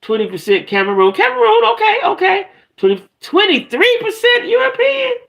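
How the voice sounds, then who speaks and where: A woman from the northern United States hosting a casual live stream, chatting playfully and colloquially in a rambling way, often tagging her thoughts with a quick check-in. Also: very high voice, big pitch swings, gravelly, a bit bright. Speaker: live stream gossip show host.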